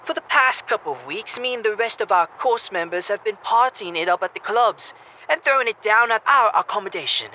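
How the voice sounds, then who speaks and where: A man's voice: high voice, animated, a little fast, bright, forceful tone, slight background noise, heavily acted, rough recording. A young man playing a cocky, boisterous student wingman in an audio drama, brash, teasing and pushy. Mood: brash, persuasive.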